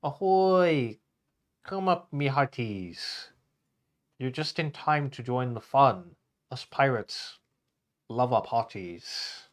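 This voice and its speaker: A man voicing a jovial, booming pirate captain in a children's storybook, with hearty old-salt talk. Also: expressive, takes it slowly.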